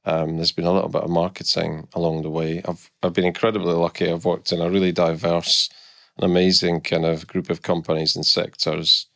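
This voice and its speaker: Brief: middle-aged Scottish guest, very low gravelly voice, dry close mic, candid and reflective. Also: male voice, level tone, a little fast, fairly bright tone, no room sound. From Scotland. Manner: reflective, candid, many hesitant restarts. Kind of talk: casual podcast interview.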